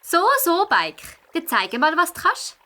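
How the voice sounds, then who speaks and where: A woman playing an excitable young fox, easily discouraged, in a children's audio play with a Swiss German accent. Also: extremely high pitch, a little slow, exaggerated voice. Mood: impressed, grateful.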